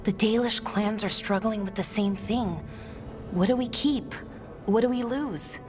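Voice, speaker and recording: An actress playing an anxious, earnest young elf in a fantasy video game, with a questioning, unguarded manner. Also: female voice, medium pitch, unhurried, music in the background, close to an everyday voice. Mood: troubled.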